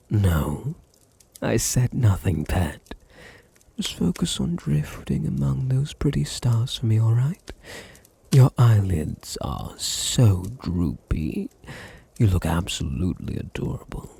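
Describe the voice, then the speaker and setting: Man acting as British male vampire noble, very breathy and husky, slow, dominant, tenderly possessive, intimate ASMR roleplay. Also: medium pitch, mellow, no room sound, exaggerated voice. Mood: teasing, hungry. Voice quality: breathy, husky, often sinking into whispers. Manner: slow, soothing, teasing, condescendingly tender.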